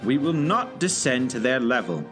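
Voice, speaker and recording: An actor playing a pompous, commanding director in an audio drama, with a formal, haughty and clipped way of speaking. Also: male voice, medium pitch, very animated, moderate pace, fairly bright tone, soft background music. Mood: indignant, commanding. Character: pompous, commanding male director, clever and dryly imperious. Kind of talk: scripted audio drama acting.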